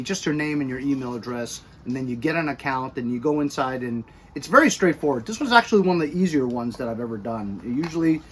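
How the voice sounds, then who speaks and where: A middle-aged American man giving a casual, chatty product review with animated emphasis, recorded by a camera a few feet away in a garage. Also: mid-range voice, a little hiss or hum. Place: cluttered garage or workshop.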